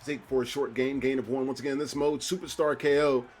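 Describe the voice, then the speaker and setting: A middle-aged man doing play-by-play commentary on a football video game tournament, his voice jumping up with excitement on big plays. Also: mid-range voice, brisk, slightly rough. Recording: faint background noise.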